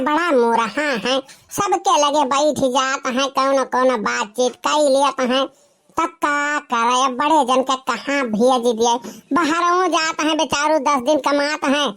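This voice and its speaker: A performer voicing a fat, sharp-tongued cartoon village woman, scolding and taunting with drawn-out wails in an Awadhi accent. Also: high voice. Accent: Awadhi, eastern Uttar Pradesh. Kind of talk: rustic comedy cartoon dialogue.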